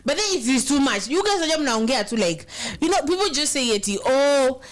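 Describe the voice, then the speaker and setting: A Kenyan woman co-hosting a casual live chat, speaking emphatically and quickly in mixed English and Swahili. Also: sits high, expressive. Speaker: co-host reading viewer comments.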